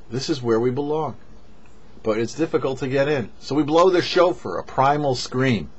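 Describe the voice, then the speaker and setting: A man, a rabbi, giving a short sermon with parables in a measured storyteller's delivery, pausing between phrases. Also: medium pitch, breathy edge. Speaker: rabbi, host of a weekly Torah portion show. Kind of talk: sermon with storytelling, read for a show. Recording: dull, lo-fi audio.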